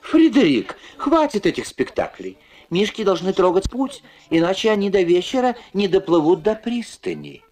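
A woman's voice: medium pitch, very animated, normal speed, a little mellow, faint music behind. A woman reading a scripted single-voice translation of a cartoon, on an old film soundtrack. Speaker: voice-over translator.

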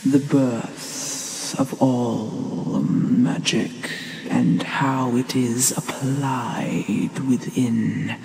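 A performer voicing a gentle, ancient dragon teacher in a hushed, breathy, deliberate ASMR fantasy roleplay, calm and solemn with long pauses. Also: medium pitch, slight background noise, low-quality recording. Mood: reverent, instructive.

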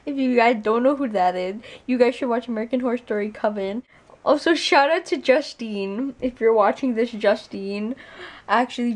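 A woman's voice: medium pitch, a little mellow. A young woman chatting casually to camera from her bed, giggly and rambling, filmed at arm's length. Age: young adult. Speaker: vlogger. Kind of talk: casual vlog chat. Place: bedroom, lying in bed.

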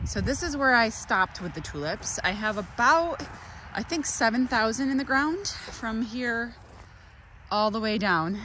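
A woman's voice: medium pitch, background noise. A woman in her thirties talking casually to a handheld phone while working outdoors on her flower farm, thinking aloud and correcting herself. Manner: chatty, thinking aloud, self-correcting asides. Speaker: flower farmer vlogger. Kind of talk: casual vlog narration. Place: outdoors on a farm in cold weather, partly in a car. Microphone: handheld phone camera.

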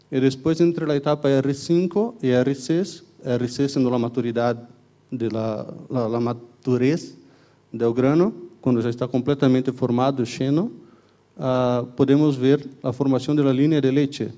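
A man's voice: medium pitch, mellow, dull audio. A young man with a Brazilian Portuguese accent, a native Portuguese speaker, giving a conference lecture in a hall into a handheld mic, hesitant with many fillers and restarts, often checking in with the audience. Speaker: university researcher giving a conference talk. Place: stage of a dark conference hall. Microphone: handheld microphone.

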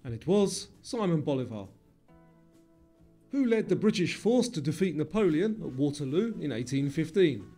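A man with a fairly high, mellow voice narrates a scripted quiz. He reads in a measured, formal way, with faint music underneath. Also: big pitch swings. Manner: measured, formal question reading.

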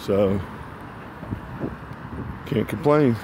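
Low-voiced man vlogging outdoors over traffic noise. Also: very slow. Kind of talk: casual walking vlog narration. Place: outdoors on a sidewalk beside a busy road. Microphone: handheld phone. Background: passing cars, street ambience.

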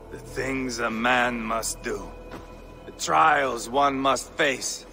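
An actor playing a treacherous, unhinged Viking warlord in a game cutscene, taunting with sudden shouts. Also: male voice, steady tone, drawn-out words, heavily acted. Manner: taunting, swings between low menace and shouting. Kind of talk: video game cutscene acting. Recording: music under dialogue.

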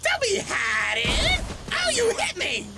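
A man voicing a wild, gruff, gravelly cartoon army general who loves explosions, for a video game. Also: very high-pitched, wildly swooping pitch, slow pace, raised voice, over loud music, pitched above the performer's own voice, exaggerated voice. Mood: gleefully aggressive.

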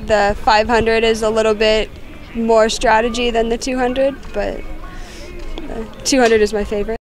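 A young American woman athlete giving quick, upbeat interview answers outdoors into a handheld mic. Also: on the high side, flat delivery. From United States. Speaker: canoe sprint athlete. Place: outdoors by a regatta course.

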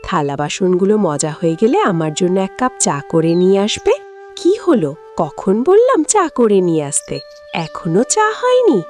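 A vain, bossy village wife from a cartoon, acted by a woman, with a lowish voice that leaps wildly up and down. She scolds and whines, making demands like someone used to being served. Music plays behind her. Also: a little mellow. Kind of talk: exaggerated cartoon dialogue.

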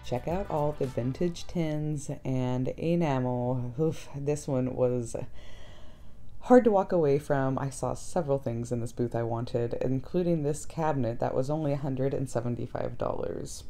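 Low-pitched, mellow chatty woman vlogger. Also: a little slow. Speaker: vlog host. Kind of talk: casual shopping vlog narration. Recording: soft background music. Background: music.

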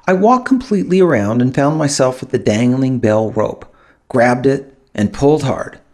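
A man narrating a first-person audiobook in an expressive storytelling manner, with dramatic pauses. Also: mid-range voice. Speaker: author narrating his own audiobook.

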